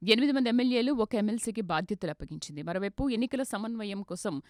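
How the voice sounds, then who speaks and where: A woman delivers scripted news in a formal register. She moves quickly through each item at a medium pitch. The sound is very dry, with no room echo. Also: animated. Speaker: news reader. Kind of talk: scripted news bulletin narration.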